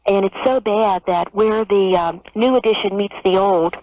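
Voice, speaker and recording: A woman with a mid-pitched voice calls in to a radio show. She explains things in a conversational way. Her voice comes thin and dry down a telephone line. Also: normal speed, no echo. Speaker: caller to radio advice show. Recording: tinny narrow phone line on radio.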